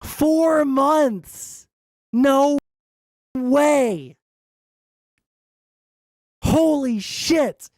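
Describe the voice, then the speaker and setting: A young man with a high tenor voice, giving blunt, sarcastic reaction commentary and swearing freely. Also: lively intonation, drawn-out words, pauses often, a little mellow, dry recording, clean studio sound. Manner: blunt, sarcastic, swears often, many "all right?" tags. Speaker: commentary streamer.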